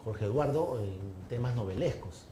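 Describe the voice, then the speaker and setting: A man's voice: fairly low pitch, animated, measured pace, crowd noise. A man interviewing a writer, asking long, rambling questions full of tag questions. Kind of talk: conversational interview. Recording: roomy, with clear background noise.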